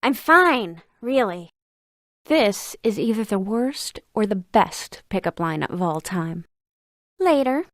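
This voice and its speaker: A woman's voice: medium pitch, very animated, slow, dry recording. A woman voicing a proud, wry woman in distress in a video game, with a dry, brushing-off manner. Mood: wry, dismissive. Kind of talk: scripted game voice lines.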